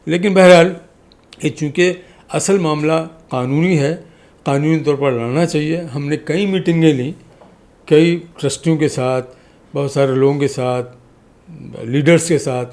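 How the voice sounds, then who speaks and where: A man's voice: on the high side, unhurried, darkish tone. A man talks as a podcast guest. He argues like an orator, stressing his points and stacking parallel phrases for weight. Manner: emphatic, oratorical, repeats phrases in parallel for effect. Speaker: podcast guest, community activist. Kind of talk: impassioned political interview talk.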